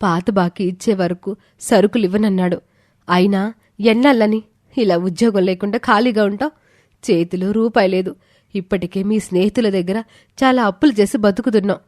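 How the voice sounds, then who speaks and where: A woman's voice: mid-range voice, moderate pace, a little mellow, dry recording, clean studio sound, close to an everyday voice. A woman playing a worried young wife in a story, earnest, pleading and reproachful.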